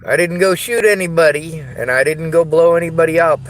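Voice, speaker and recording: A tired man with a Southern US accent, talking slowly in a rambling monologue to camera. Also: on the high side, rough recording. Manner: slow, tired, drawling delivery. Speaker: vlogger.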